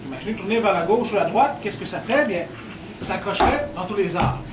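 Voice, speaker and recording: A man's voice: sits high, animated, fast. A man from Quebec's North Shore with a Quebec French accent, giving a guided tour to visitors in a playful, teasing way. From Quebec, Côte-Nord. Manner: playful, teases the audience. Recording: distant camera audio with loud room noise.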